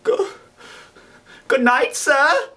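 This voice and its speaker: A male reader acts out a frightened young boy in a classic storybook. The voice jumps up high and is polite, timid and pleading, with lively swings in pitch. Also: very slow, recorded from a distance, heavily acted.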